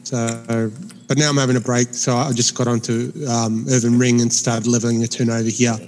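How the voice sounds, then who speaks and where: A man in his forties with a speech impediment, co-hosting a gaming podcast in a halting, filler-heavy way. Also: medium pitch, level tone, a little mellow, in a large hall. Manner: halting, many fillers and restarts. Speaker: podcast co-host. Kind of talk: casual chat.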